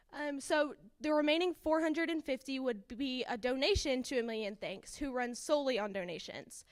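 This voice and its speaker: A teenage girl presenting a student project and answering judges' questions, earnest and sprinkled with ums. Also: high voice. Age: teens.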